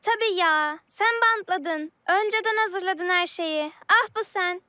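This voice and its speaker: A woman playing an excitable, argumentative young female character in a children's cartoon, with an emphatic, childlike manner. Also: very high voice, measured pace, dry recording, full character voice. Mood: amazed. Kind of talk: animated children's cartoon dialogue. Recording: music behind the voice.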